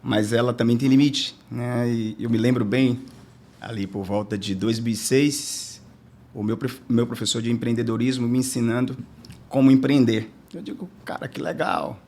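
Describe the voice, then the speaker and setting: A man from Salvador, a guest entrepreneur telling his story in a relaxed, hesitant way. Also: medium pitch, normal speed, creaky. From Salvador, Bahia, Brazil. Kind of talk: storytelling in podcast interview.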